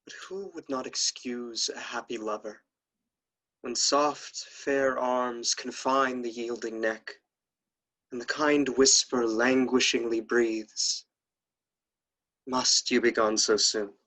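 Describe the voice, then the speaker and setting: A performer acts out a proud young nobleman of Restoration comedy, the domineering brother. The mid-pitched voice is formal and imperious, with the measured phrasing of the stage. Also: fairly even intonation, fairly bright tone, rough recording. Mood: languishing. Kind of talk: theatrical Restoration play acting.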